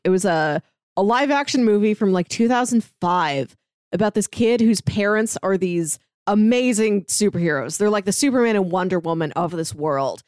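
A woman's voice: medium pitch, a little mellow, no room sound. Chatty enthusiastic woman, dry close sound. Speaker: podcast panelist. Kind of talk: casual review chat.

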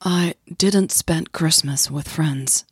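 A woman's voice: low-pitched, slow pace, breathy edge, no echo, clean studio sound, heavily acted. A woman playing a guilty, guarded, shy woman in an audio drama, hesitant and apologetic. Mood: reluctant, vulnerable.

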